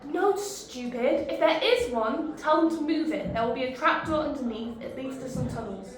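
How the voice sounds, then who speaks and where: Woman as a defiant young sniper, high voice. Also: normal speed, heavy reverb, a little hiss or hum, natural voice. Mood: urgent. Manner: defiant, snappy.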